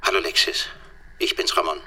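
An actor playing a hard-pressed investigator in a radio play, brisk and businesslike. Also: male voice, deep voice, level tone, noisy background, close to an everyday voice. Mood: urgent, wry. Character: hard-pressed male investigator stuck on a cold case.

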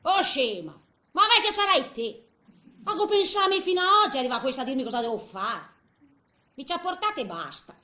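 A woman's voice: high-pitched, sing-song, fairly quick, pushed and bright, reverberant, heavily acted. An actress playing a feisty, grumbling woman of eighty in a theatrical comic monologue, with a Tuscan accent and muttered, exclamatory asides. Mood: indignant, sarcastic. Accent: Tuscan Italian. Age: older. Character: feisty, grumbling eighty-year-old woman in a nursing home.

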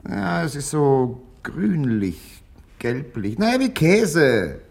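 An actor playing a needy, petulant young man in a radio drama, husky, moving between sulky muttering and bombastic ranting. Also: a little high, very animated, slow pace, darkish tone, full character voice. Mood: hesitant, flat. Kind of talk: theatrical radio drama acting.

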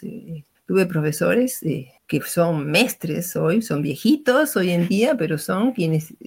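Woman, sixties, Uruguayan accent, lowish voice, hesitant and reflective storyteller. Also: creaky, breathy edge. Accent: Uruguayan Spanish. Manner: hesitant, many "eh" fillers and restarts. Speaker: interviewee, retired teacher.

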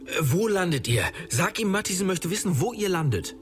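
A very low woman's voice playing an insistent questioner in a radio drama. She is pressing, with lively pitch movement over faint music. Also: brisk. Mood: urgent. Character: insistent adult questioning a girl for information. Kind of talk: German radio drama acting.

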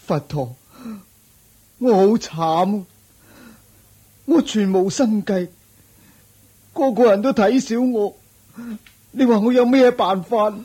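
A man's voice: high-pitched, takes it slowly, long pauses, dark tone, husky, full character voice. A man with a Hong Kong Cantonese accent playing a luckless, penniless nephew, humble, sighing and pleading, in a radio drama. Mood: despairing.

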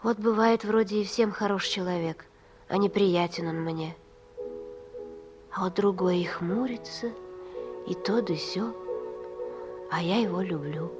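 An actress playing a tender, gently teasing young mother with a folksy, affectionate manner in a Russian radio play. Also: fairly low pitch, a little slow, long pauses, music in the background, natural voice.

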